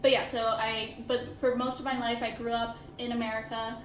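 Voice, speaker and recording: A woman's voice: a little high, little pitch movement, normal speed, background noise. Thoughtful young woman in an echoey room. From India, raised in Chicago suburbs. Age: 20s. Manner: reflective, many "like" fillers. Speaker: interviewee, architecture student. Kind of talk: casual interview.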